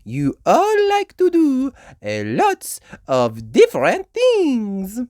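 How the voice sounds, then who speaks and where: A woman's voice: a little high, huge pitch swings, a little mellow, no echo, clean studio sound, pitched above the performer's own voice, full character voice. A woman voicing an encouraging female gazelle teacher in a children's storybook, drawing out her words. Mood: encouraging, instructive. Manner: drawn-out, encouraging teacher talk.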